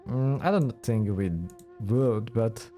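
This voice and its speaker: A man's voice: mid-range voice, takes it slowly, mellow. A man doing casual let's play commentary, wry and musing aloud as he goes. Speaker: gaming let's play host. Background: video game music.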